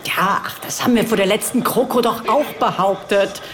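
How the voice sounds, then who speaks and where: A woman's voice: medium pitch, moderate pace, over music, natural voice. An actress playing a jovial, scheming female party leader in a satirical radio play. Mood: smug, scheming. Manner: jovial, conspiratorial.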